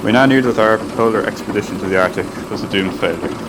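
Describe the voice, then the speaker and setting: A man playing a low, earnest ship's engineer. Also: fast talker, noisy background, lightly acted. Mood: narrating. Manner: plain, earnest, soothing with the crew. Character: practical male chief engineer and narrator. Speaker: chief engineer. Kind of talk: amateur radio drama acting.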